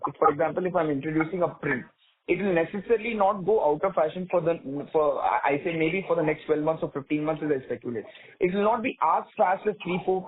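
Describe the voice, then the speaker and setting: The sound is a thin, narrow telephone conference line. On it, a man with an Indian accent and a fairly high-pitched voice answers questions as a company executive. He explains things step by step, often repeating and rephrasing himself. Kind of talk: earnings call question and answer. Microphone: telephone conference call. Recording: narrow tinny phone line.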